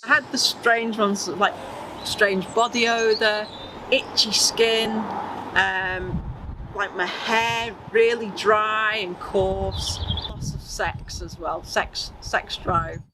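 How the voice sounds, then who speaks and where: A woman's voice: medium pitch, steady tone, slow pace, noisy background. A woman in her forties frankly describing her own experience with a wry edge. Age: 40s. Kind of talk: personal testimony.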